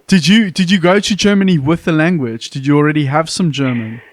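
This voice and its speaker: Chatty podcast host, dry close sound. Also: male voice, fairly high pitch, no room sound. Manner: chatty, quick to joke at his own expense. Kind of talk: casual podcast interview.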